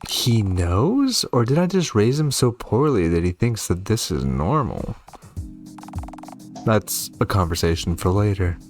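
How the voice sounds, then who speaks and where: A man's voice: on the low side, very animated, unhurried. A man giving commentary on a horror game, reacting and reading on-screen text aloud. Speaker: gaming video host. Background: game music.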